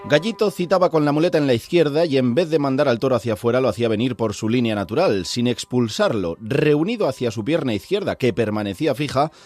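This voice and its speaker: A man's voice: medium pitch, quick pace, few pauses, a little mellow, soft background music, natural voice. A man reading a scripted descriptive passage as a narrator. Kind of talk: scripted narration of a quoted text.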